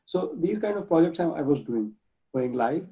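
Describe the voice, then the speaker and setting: Pakistani guy on a video call, gravelly and kinda dark, earnest, restarts words a lot. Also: fairly high pitch, expressive, normal speed. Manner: earnest, restarts words while searching for English phrasing. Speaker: guest, project manager and nonprofit volunteer. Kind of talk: casual interview talk. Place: home room, indoors. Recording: dull lo-fi video call audio.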